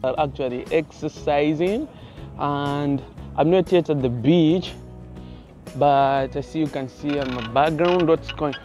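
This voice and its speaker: A man's voice: fairly high pitch, takes it slowly, a little mellow, faint music behind. A young man, casually vlogging outdoors while riding a bicycle and chatting straight to his viewers. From Kenya. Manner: chatty, keeps calling out to viewers as "guys". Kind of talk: casual vlog to camera. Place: outdoors on an open roadside. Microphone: phone held at arm's length.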